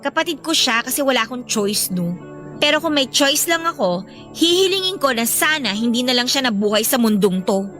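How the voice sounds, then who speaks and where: A woman playing a young student, a dutiful but resentful older sister, expressive and conversational in a Filipino radio drama. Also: high-pitched, fairly quick, soft background music. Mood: irritated.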